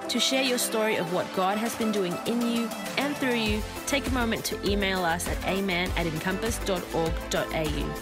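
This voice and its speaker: Woman, podcast announcer, scripted upbeat welcome, loud music underneath. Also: mid-range voice, normal speed, hardly any pauses.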